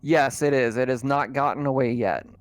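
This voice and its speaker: A man running a tabletop horror game as game master, describing the scene in a halting way with frequent ums. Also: mid-range voice, no room sound. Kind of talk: narrating a tabletop game over voice chat.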